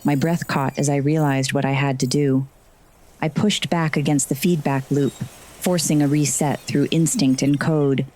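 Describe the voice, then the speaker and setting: A woman's voice: low voice, fairly even intonation, a little slow, a little mellow. A woman playing a sardonic, frazzled heroine who narrates her magical adventure in first person in an audio drama. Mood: intense, measured. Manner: wry, sarcastic asides.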